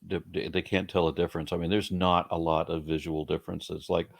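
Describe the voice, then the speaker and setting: Male interview host, somewhat low voice, conversational, thinks aloud with repeated restarts. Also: flat delivery, fairly quick, creaky.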